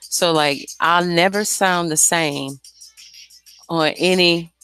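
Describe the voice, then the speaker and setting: A woman's voice: lowish voice, fairly even intonation, takes it slowly, a bit bright, clean tone. A woman with a light, airy voice chatting casually and candidly about her music, informal and slangy, swearing freely. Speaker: singer and songwriter. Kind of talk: casual, unscripted chat about making music.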